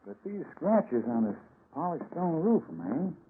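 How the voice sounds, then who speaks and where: An actor playing a musing, puzzled police commissioner in an old-time radio drama, speaking deliberately and with emphasis. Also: male voice, a little high, sing-song. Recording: muffled, slightly noisy vintage radio broadcast.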